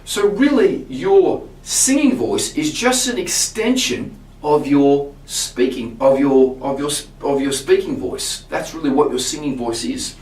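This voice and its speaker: A man, a singing teacher, explaining vocal technique to camera in a relaxed way, often restarting his words. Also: a little high, unhurried. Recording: roomy sound from a distance.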